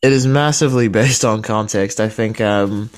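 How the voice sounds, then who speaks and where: A man speaks as a radio guest in casual, reflective discussion, haltingly repeating words as he works out his thoughts. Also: lowish voice.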